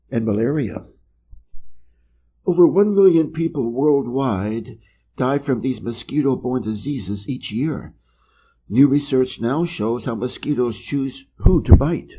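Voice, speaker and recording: A man from Wisconsin with an American Midwest accent, reading an article slowly and carefully for English learners. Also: medium pitch, warm tone. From Wisconsin, USA. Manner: measured and deliberate, clearly pronounced. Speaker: reader of an English learning channel. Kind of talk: slow, careful reading aloud.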